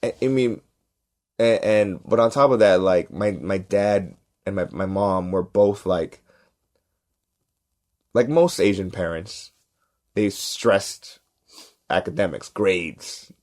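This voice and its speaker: A man tells stories in a casual podcast chat. He is animated and often checks in with "right?" He acts out the other people in his stories. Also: deep voice, takes it slowly, pauses often. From Los Angeles, United States. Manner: animated, acts out quoted voices, repeats "right?". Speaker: podcast cohost, stand-up comedian. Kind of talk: casual storytelling.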